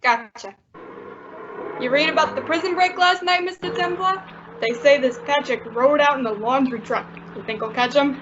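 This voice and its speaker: Woman playing a high-voiced, chatty cabbie. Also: moderate pace, close to an everyday voice. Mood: cheery, chatty. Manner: folksy, chatty, naive. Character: chatty, earnest, slow-witted male cab driver. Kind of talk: old-time radio drama acting. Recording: muffled audio with faint background noise.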